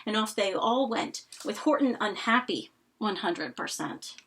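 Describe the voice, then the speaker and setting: A woman's voice: mid-range voice, expressive, unhurried, a bit bright, slightly rough, poor audio. A woman reading a rhyming children's story aloud expressively and dramatically, with chatty asides.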